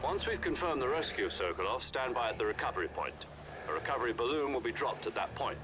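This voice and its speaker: Stern military commander over loud music. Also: male voice, mid-range voice, very animated, quick pace. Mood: stern, urgent. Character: authoritative middle-aged male military commander briefing an agent. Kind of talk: video game cutscene voice acting. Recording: game audio under loud music and engine noise.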